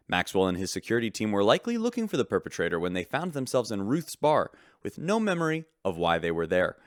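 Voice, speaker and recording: An actor playing an affable, earnest radio newsman reporting in a sci-fi audio drama. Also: fairly low pitch. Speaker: radio host.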